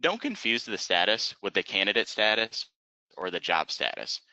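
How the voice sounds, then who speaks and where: A man narrating an instructional webinar as a software trainer, explaining methodically step by step in a close, dry, slightly dull recording. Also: on the low side, sing-song, moderate pace, dry recording.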